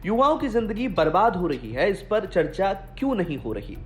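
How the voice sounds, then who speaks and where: A woman's voice: medium pitch, sing-song, moderate pace, a little hiss or hum. A woman presenting news in a scripted narration style, introducing political reports. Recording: voice-over with faint music bed.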